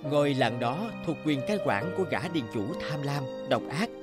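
A man's voice: a little high, lively intonation, moderate pace, loud music behind the voice. A man reading scripted fairy-tale narration as a storyteller.

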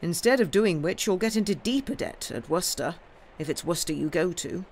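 Man voicing a gruff, stern old uncle. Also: sits high, animated, brisk, a little hiss or hum. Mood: demanding, blunt. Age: older. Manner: gruff, blunt, lecturing, old-fashioned phrasing. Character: gruff, stern elderly uncle scolding his wayward nephew.

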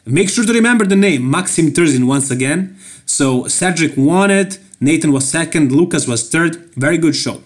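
A man's voice: on the high side, expressive, moderate pace. A man with a Serbian accent giving casual, joking voice-over commentary on a bodybuilding show, laughing often. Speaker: bodybuilding YouTuber.

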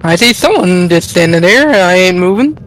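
A man with a high-pitched voice talks casually while gaming with others. He is matter-of-fact, narrating his own moves. There is faint noise behind him. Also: lively intonation, moderate pace, fairly bright tone. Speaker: gamer in a group online horror game.

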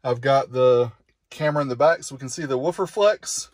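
A man's voice: medium pitch, brisk, no echo, studio quality. Middle-aged man reviewing car audio gear. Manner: chatty, explains specs step by step. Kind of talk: casual product review. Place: outdoors on a driveway, later inside a vehicle.

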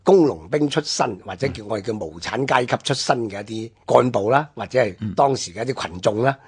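Husky man with Hong Kong Cantonese accent. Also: medium pitch, expressive, brisk. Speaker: radio programme commentator. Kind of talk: conversational history discussion on radio.

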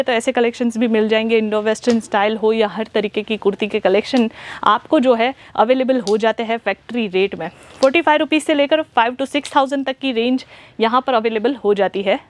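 A young adult woman hosting a clothing shop video, delivering an upbeat, repetitive sales pitch while showing garments in a large store. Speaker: shop host presenting clothing. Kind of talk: fast sales pitch to camera.

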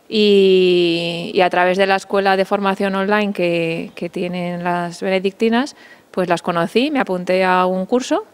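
A woman's voice: lowish voice, clear, resonant tone. A woman in her thirties, a novice, answering thoughtfully and a bit hesitantly into a handheld mic outdoors. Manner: thoughtful, hesitant, searching for words. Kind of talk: interview answers. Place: cloister garden outdoors.

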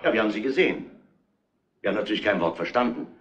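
An actor playing a shrewd middle-aged police inspector in a retro crime drama, dry, probing and mock-polite, on a noisy old soundtrack. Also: male voice, on the low side, lively intonation, very fast, a bit bright, muffled recording. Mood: mock-polite, needling.

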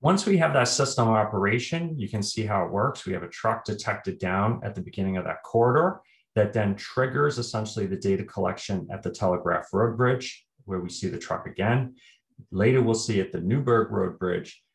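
A man giving a keynote research presentation, measured and technical, often pausing with "uh". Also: fairly low pitch, lively intonation, vocal fry, echoey room. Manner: measured, technical, with frequent "uh" fillers. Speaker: keynote speaker.

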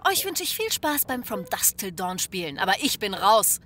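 Outraged, sarcastic woman, piercing and wildly animated, audio comedy, slight noise behind. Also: mid-range voice, normal speed. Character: indignant, sarcastic, sharp-tongued woman bar guest. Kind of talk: occult comedy audio drama acting.

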